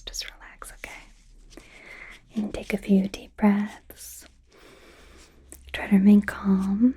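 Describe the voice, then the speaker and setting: Woman playing young female werewolf doctor in training, slow breathy whisper, mellow, hesitant, earnest, ASMR roleplay. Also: mid-range voice. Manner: hesitant, halting, nervous. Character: young female werewolf medical student, anxious, earnest, apologetic.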